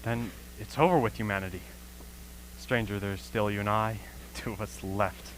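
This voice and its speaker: A performer acting an earnest, dismayed lone survivor in a stage radio play, speaking in a deliberate, questioning, dramatic way. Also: deep voice, fairly even intonation, a little hiss or hum, lightly acted, low-quality recording. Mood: grave, alarmed. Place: school auxiliary gym.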